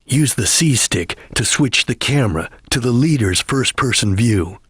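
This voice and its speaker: Husky, stern ninja chameleon. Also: male voice, medium pitch, no room sound, studio quality. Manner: solemn, formal, clipped, declarative delivery. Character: stern, disciplined ninja chameleon, solemn male video game fighter. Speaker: video game character giving battle lines and tutorials. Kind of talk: dramatic video game voice acting.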